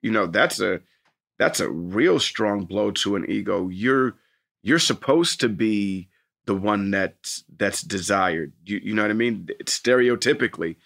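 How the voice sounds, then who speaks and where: A man co-hosting a casual podcast, animated and joking, jumping in with questions and asides. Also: lowish voice, unhurried, vocal fry. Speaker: podcast co-host. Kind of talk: casual chat.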